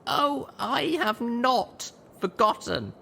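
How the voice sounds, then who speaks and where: A man's voice: very high-pitched, big pitch swings, slow pace, vocal fry, bright, forceful tone, slight background noise, pitched above the performer's own voice, full character voice. A man voicing a small, stubborn, righteous young mare who leads an adventure in an audio drama. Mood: angry, determined.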